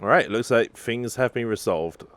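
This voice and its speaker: A woman's voice: a bass voice, normal speed. A woman giving casual let's play commentary, echoing and answering the game's dialogue as a host. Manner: echoes and answers the game dialogue. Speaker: gaming video host reacting to a game.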